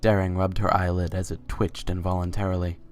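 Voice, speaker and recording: Very low, even-toned male narrator. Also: brisk, faint music behind. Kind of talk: scripted narration of a fan fiction story.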